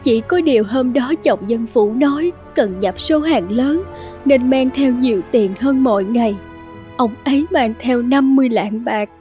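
A woman voicing a worried, humble village wife who pleads respectfully in an animated folk tale. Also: a little high, measured pace, faint music behind, lightly acted. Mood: anxious, pleading.

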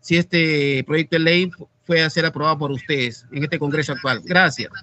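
A man's voice: fairly high pitch, fairly even intonation, normal speed, pushed and bright, slight background noise. A middle-aged man, a mayor, addressing a committee over a video call from an indoor office in an emphatic, indignant tone, repeating words for stress.

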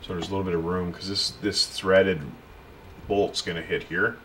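A low-pitched man explains a repair job as he works at a workshop bench, speaking plainly and directly to the viewer. Tools click and clatter clearly behind his voice. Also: flat delivery, moderate pace. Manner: plain, unpolished explaining while working, talking to the viewer. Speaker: pool and spa repair technician. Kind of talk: casual hands-on repair tutorial. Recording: tool clicks and workshop noise.

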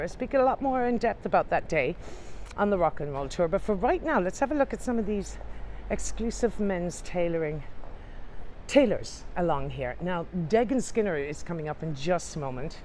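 A woman strolls the streets as a tour guide, upbeat and chatty. Her voice sits a little low, picked up on a handheld camera. Faint traffic hums in the background. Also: a little mellow. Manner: chatty and upbeat, addresses viewers as ladies and gents. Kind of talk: walking tour narration to camera. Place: outdoors on city streets.

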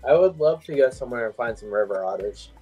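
A man's voice: medium pitch, animated, quick pace, dark tone, husky, dull audio, soft background music. A man chatting casually as a guest over a video call from a room at home. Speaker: guest co-host.

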